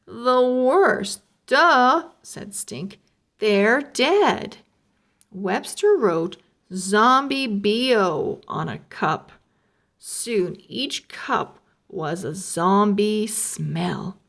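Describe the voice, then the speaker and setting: A woman reading a children's chapter book aloud, slow and expressive, raising her voice for the characters' lines. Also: medium pitch, gravelly. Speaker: read-aloud narrator. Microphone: close mic, camera over an open book.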